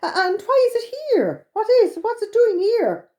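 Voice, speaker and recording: A woman voicing excitable, surprised children with an Irish accent, exclaiming and questioning in a storybook tale. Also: very high voice, normal speed, voice pushed up, exaggerated voice. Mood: amazed.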